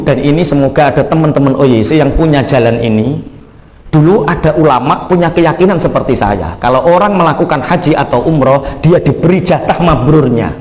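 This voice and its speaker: Echoey Javanese-accented male preacher, higher voice. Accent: Javanese Indonesian. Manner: folksy, animated storytelling mixing Indonesian with Javanese. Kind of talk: storytelling sermon.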